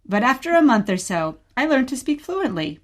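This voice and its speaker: A woman acting a gentle, patient mother who tells stories of her childhood in a scripted radio play. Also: high-pitched, sing-song, normal speed. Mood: patient storytelling. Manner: patient, storytelling.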